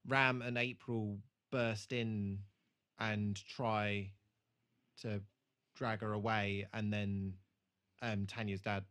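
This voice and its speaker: A man's voice: lowish voice, a bit bright. A man co-hosting a podcast in casual chat, hesitant and given to swearing. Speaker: podcast co-host. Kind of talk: casual chat, re-watch commentary.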